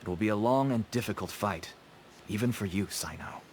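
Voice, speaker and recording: A man's voice: lowish voice, fairly quick, a little hiss or hum, close to an everyday voice. An actor playing a nervous, ingratiating merchant father with a shady trade in a video game. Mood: cautioning. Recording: game music behind the voice.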